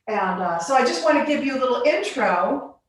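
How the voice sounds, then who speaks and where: A woman from the Bahamas and Virgin Islands, a glass artist giving a casual guided tour in an informal, halting way with pauses between phrases. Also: sits high, animated. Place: living room of a home studio. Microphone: phone on a selfie stick. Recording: echoey room, live video stream.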